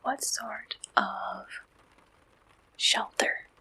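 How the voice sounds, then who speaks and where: A woman whispering an ASMR roleplay as an attentive, businesslike dating service agent, asking questions softly and deliberately in a breathy voice. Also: exaggerated voice, poor audio. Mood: gentle, attentive.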